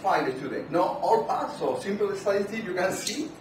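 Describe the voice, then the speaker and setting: A man with a Spanish accent giving a conference keynote in a large lecture hall without a microphone, speaking haltingly with many fillers and restarts. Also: high voice, lively intonation. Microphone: far camera, no microphone. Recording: echoey hall from far off, noisy.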